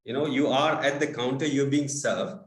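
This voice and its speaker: A man from India giving an online talk as a guest speaker, halting with many fillers. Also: medium pitch, fairly even intonation. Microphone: video call. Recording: echoey room.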